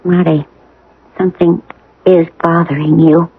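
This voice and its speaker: A woman playing a worried, skeptical wife in a 1970s radio drama, husky and questioning. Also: on the low side, flat delivery, a little slow. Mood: exasperated, concerned. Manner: questioning, exasperated yet concerned. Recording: muffled narrow-band old radio broadcast.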